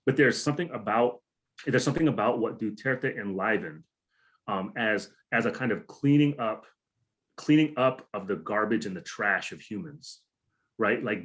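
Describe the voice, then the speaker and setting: Man, low voice, US, conversational academic talk, restarts phrases, theory-heavy wording. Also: a bit bright. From United States. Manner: conversational, many restarts and hedges, theoretical vocabulary. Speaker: academic speaker. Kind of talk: academic talk answering questions.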